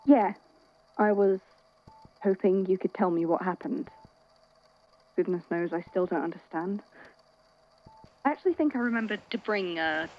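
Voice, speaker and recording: A woman playing a weary, caring friend in an audio drama, talking in a hesitant, conversational, gently wry way. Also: medium pitch, moderate pace, long pauses, dull audio, lightly acted. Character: worried, weary, caring friend at a hospital bedside. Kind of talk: naturalistic audio drama acting.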